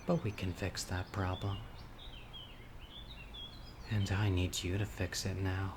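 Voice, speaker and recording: A dying, devoted yandere witch, played by a man in an audio roleplay. His voice sits fairly low and moves very slowly, with deliberate, intimate pleading. Insects and birdsong are clearly audible behind him. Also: level tone, background noise. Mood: tender, needy. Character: obsessive, dying young male witch, devoted yandere lover. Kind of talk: audio roleplay, dramatic monologue to listener. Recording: outdoor nature ambience, crickets and birds.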